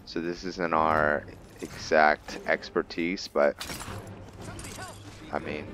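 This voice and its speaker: A man giving unscripted roleplay commentary over a video game, halting and deliberate, with long pauses. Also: rumbling low, little pitch movement, sharp tone, slight background noise. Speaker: gamer hosting a roleplay playthrough.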